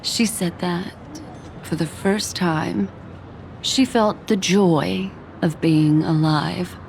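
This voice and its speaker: A woman voicing a composed, soft-spoken game character, gentle and deliberate in how she speaks. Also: on the low side, vocal fry, a little hiss or hum. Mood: gently reflective. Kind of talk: anime-style video game voice acting.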